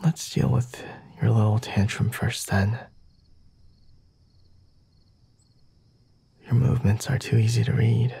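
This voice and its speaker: A man playing a flirty, self-assured vampire lord in an ASMR roleplay, speaking softly and formally in a breathy, often whispered voice with a teasing manner. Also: medium pitch, steady tone, normal speed, a little hiss or hum. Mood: teasing, amused. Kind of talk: ASMR roleplay, intimate dramatic monologue.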